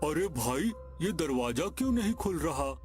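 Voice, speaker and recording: A man playing a greedy, excitable village schemer in a cartoon story, speaking in exclamations. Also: fairly high pitch, moderate pace. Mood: puzzled. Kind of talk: Hindi cartoon story acting. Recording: music behind the voice.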